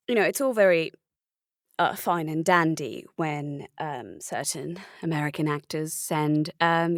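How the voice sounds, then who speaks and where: A woman's voice: on the low side, no room sound, clean studio sound. A woman playing a breezily unrepentant British method actress, justifying herself with polite, hesitant euphemism in a mockumentary interview.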